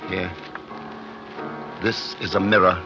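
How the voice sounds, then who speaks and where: A low-pitched, intense scientist in an old radio drama, fearful and awed by his own creation. He talks in halting bursts, on a muffled 1950s recording with prominent music behind him. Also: level tone. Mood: awed, agitated. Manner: intense, halting, talking to himself in short bursts. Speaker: actor.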